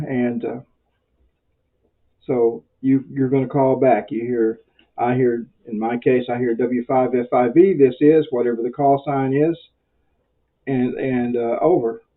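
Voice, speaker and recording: An American man with a mid-pitched voice explains things as an informal instructor. His delivery is conversational and wandering, with a self-deprecating streak. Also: phone-quality sound. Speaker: amateur radio instructor. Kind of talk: informal spoken tutorial.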